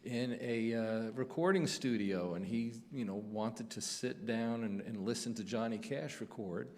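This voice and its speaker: A man's voice: mid-range voice, a little slow, darkish tone. A man hosting a radio show on stage, chatting casually and repeating phrases for emphasis. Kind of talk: casual onstage interview chat. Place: stage of a live music show. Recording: reverberant hall.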